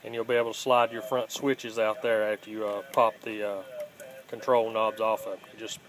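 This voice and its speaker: A man giving a casual, unscripted hands-on how-to in a plainspoken, halting way, recorded on a handheld phone. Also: on the low side, little pitch movement, crowd noise. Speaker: host of a scrapping how-to channel. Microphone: handheld phone, filming one-handed. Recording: home phone video with faint background noise.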